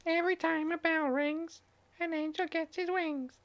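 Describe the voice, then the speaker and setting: A man co-hosting a casual podcast, chatty and quick to laugh, retelling film scenes with put-on voices. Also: very high voice, steady tone, fairly bright tone, dry recording. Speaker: podcast co-host.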